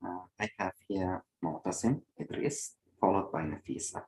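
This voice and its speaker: A man's voice: low voice, normal speed, a little mellow, husky. A man hosting a video call, briefly welcoming each new fellow and calling on the next speaker. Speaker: fellowship mentor and meeting host.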